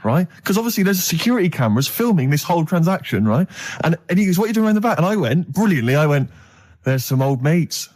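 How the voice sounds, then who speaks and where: Fast-talking man telling an anecdote. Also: on the high side, very animated, a little mellow. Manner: chatty storyteller, acting out quoted dialogue. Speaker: radio show co-host. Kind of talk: animated comic anecdote on a radio show.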